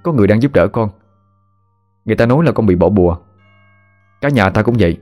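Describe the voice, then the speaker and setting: A man voicing a sick young man who talks quickly and earnestly. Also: lowish voice, expressive, a little mellow, soft background music, natural voice. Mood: reassuring.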